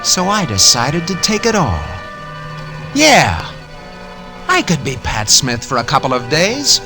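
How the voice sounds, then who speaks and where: A man's voice: on the high side, wildly swooping pitch, measured pace. An actor playing a cynical, scheming swindler with clipped tough-guy slang in a 1940s radio crime drama. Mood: cold, scheming. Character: cynical scheming male swindler, cool, coldly murderous narrator. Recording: 1940s radio recording with loud music.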